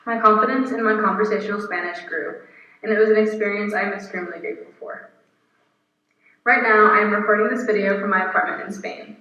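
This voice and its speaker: Young woman guest speaker, earnest and steady, far from the mic, muffled, very roomy. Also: medium pitch, quick pace. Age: 20s. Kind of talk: reading a prepared speech. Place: apartment room. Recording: echoey, distant, muffled video recording.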